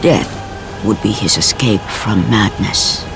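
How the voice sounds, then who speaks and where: A woman playing a grave narrator of an ancient myth, telling it in solemn, measured phrases for a video game. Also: extremely low pitch, full character voice. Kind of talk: dramatic epic scripted narration. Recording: music underneath.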